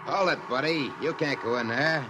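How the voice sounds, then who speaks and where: A man's voice: mid-range voice, moderate pace, lightly acted, rough recording. An actor playing a blunt, working-class male security guard in a 1950s radio drama. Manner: blunt and matter-of-fact.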